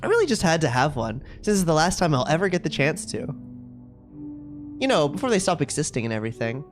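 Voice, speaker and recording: Visual novel schoolgirl, read by a man: cutesy, confiding, clingy, very animated delivery over game music. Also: on the high side, quick pace. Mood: breezy apologetic. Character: sweet, possessive, self-aware video game schoolgirl. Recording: music playing behind the voice.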